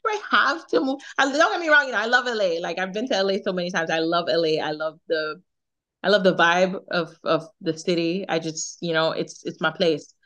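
A young woman with a Jamaican accent and a fairly low voice talks freely about her plans. She laughs often and doubles back on her words as she goes. She comes through a remote video call. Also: a little fast, a bit bright. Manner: chatty, laughs often, repeats herself. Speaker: singer, interview guest. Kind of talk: casual interview chat.

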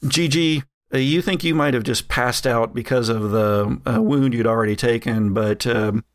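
A man's voice: medium pitch, normal speed. A man narrating as game master in a casual tabletop game, with many fillers and laughs.

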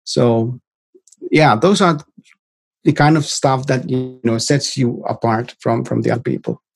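A middle-aged man from Finland with a Finnish accent, answering informally as an interview guest in a halting, reflective way that often repeats words. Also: mid-range voice, fairly even intonation, gravelly, husky. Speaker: interview guest, experienced tech professional.

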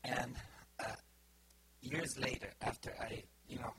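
Bulgarian-accented preacher, halting and hesitant. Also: male voice, medium pitch, fairly bright tone, slightly rough. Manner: halting, many fillers and restarts. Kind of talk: informal sermon to a congregation.

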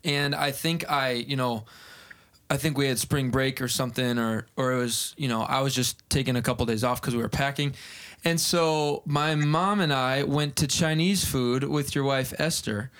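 A man's voice: medium pitch, moderate pace, a bit bright. A man hosting a casual podcast interview, telling a personal story in a meandering way with lots of fillers. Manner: rambling storytelling with many fillers. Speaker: podcast host.

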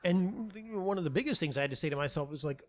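Halting, self-deprecating middle-aged man. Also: on the high side, expressive. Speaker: playwright and audio dramatist being interviewed. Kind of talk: casual reflective interview conversation.

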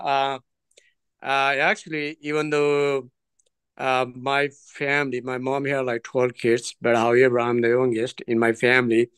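A man, his voice smooth and resonant. He tells his story earnestly, pausing and circling back as he goes. The sound is close and dry, with no room echo. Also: mid-range voice, fairly bright tone. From Nepal. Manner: earnest, digressive, hesitant phrasing. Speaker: trekking guest. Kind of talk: interview storytelling.

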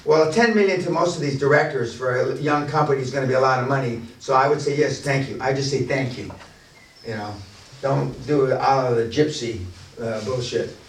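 A man's voice: mid-range voice, a little fast. A man leading a business seminar, talking bluntly and boastfully with plenty of profanity and restarts. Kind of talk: unscripted seminar talk to a room. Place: large echoey room at a seminar venue. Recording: echoey room, distant mic.

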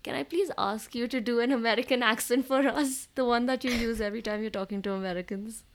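Playful young Indian woman, high voice. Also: steady tone, fast. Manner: playful, teasing, giggly storytelling. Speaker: podcast co-host. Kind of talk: casual chat.

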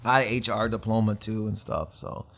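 A man's voice: lowish voice, level tone, fairly quick, a bit bright. A man in his late thirties from Canada, a stand-up comedian telling stories in a casual interview, rambling and self-deprecating with slangy asides. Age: 30s.

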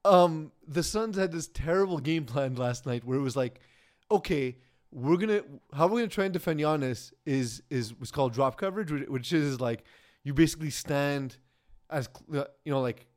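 A man co-hosting a casual sports talk show, rambling and laughing as he goes, often trailing off quietly. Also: on the high side, normal speed. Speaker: podcast co-host.

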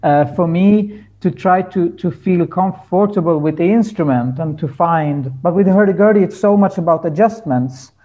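A man's voice: sits high, moderate pace, few pauses. A man speaking casually as a musician guest on a podcast. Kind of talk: casual interview talk. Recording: dull, muffled lo-fi audio.

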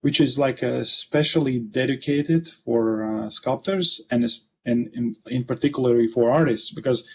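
Gravelly Latvian man on a video call. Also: medium pitch. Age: 40s. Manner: halting, many fillers and restarts. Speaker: guest author. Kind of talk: casual interview. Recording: muffled lo-fi video call audio.

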